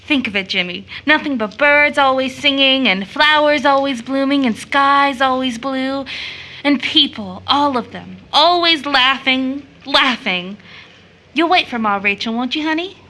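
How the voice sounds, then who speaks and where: A motherly woman as an actress plays her in an audio drama, tender and wistful. Her voice is rather high and very bright. She soothes and speaks affectionately, like a bedtime storyteller. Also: measured pace. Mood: pleading, yearning. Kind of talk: stage drama audio acting.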